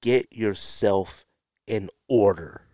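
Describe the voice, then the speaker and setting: A man with an American accent giving opinionated solo podcast talk in a blunt, emphatic way, with drawn-out pauses and repeated phrases. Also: lowish voice, mellow, dry recording, studio quality. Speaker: podcast host.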